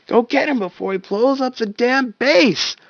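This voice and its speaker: A man voicing an exasperated, short-tempered elite soldier with a sharp, raised delivery. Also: very high voice, a little slow, vocal fry, no echo, dull audio, voice pushed up, full character voice. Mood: furious. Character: exasperated male special-ops soldier, tense, short-tempered.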